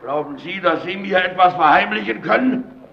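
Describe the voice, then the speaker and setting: An actor playing a domineering, suspicious and irritable lighthouse keeper in an old German radio drama, speaking in a stern, interrogating way. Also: male voice, high voice, normal speed, gravelly. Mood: angry, commanding. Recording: dull, noisy old radio recording.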